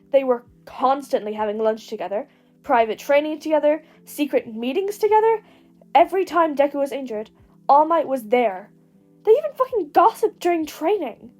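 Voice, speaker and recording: Woman reading a story, high voice, expressive narrator, music underneath. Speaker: podfic narrator. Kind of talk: expressive scripted fiction narration.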